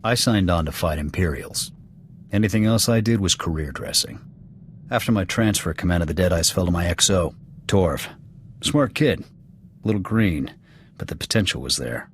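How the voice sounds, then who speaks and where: An actor playing a gruff, proud alien military officer in a video game, with an American accent and a blunt, clipped military delivery. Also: male voice, deep voice, expressive, normal speed, a little hiss or hum. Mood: matter-of-fact, resolute. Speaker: soldier serving as second to his commander. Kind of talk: video game dialogue acting. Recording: background music under the dialogue.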